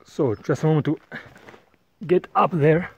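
A man in his thirties gives an unhurried walk-and-talk tour of his farm, filming himself on a handheld phone. He talks in plain, non-native phrasing and chuckles often. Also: a little high, breathy edge. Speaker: farmer vlogger. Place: outdoors on a hillside farm and inside a bird shed. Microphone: phone camera at arm's length.

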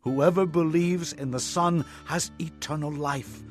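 A man's voice: fairly high pitch, fairly even intonation, unhurried, soft background music, lightly acted. An actor playing a fervent prophet, declaiming his testimony in a dramatized scripture reading. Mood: fervent, exalted.

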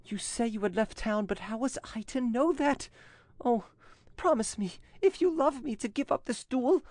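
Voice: medium pitch, lively intonation, normal speed, a little mellow, dry recording, voice pushed up, lightly acted. A narrator voicing a frightened young Frenchwoman who pleads with theatrical, imploring urgency in an audiobook story. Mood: frightened pleading.

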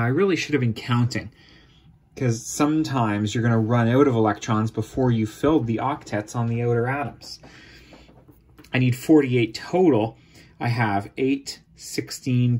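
Man, husky voice, chemistry teacher, informal step-by-step tutorial, mid-pitched. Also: a little mellow.

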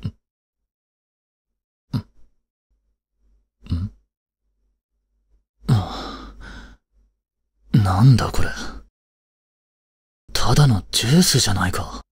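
An actor playing a cold, haughty young prince in an audio drama, with a husky, breathy voice and a curt, imperious manner. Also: male voice, fairly low pitch, expressive, slow pace, pauses often, exaggerated voice. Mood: puzzled, irritated. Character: cold, haughty young prince scolding and fretting over his maid. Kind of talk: Japanese audio drama acting.

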